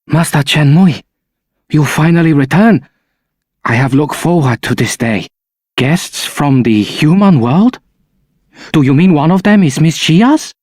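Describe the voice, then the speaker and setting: A man's voice: on the high side, expressive, a little slow, pauses often, a little mellow, no echo, clean studio sound. A man playing an eager, respectful household servant in a fantasy video game. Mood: deferential.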